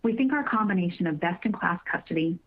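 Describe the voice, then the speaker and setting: A woman reading prepared remarks as a company finance executive, in a formal, measured manner with careful wording. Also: fairly low pitch, animated. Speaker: company finance executive presenting on an earnings call. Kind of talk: prepared corporate presentation, scripted. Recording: narrow tinny phone-line conference call.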